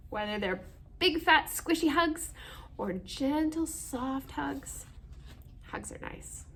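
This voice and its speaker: A woman hosting a children's story time, reading aloud and explaining gently in simple words, often putting questions to her young listeners. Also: high-pitched, expressive, slow pace, a little hiss or hum.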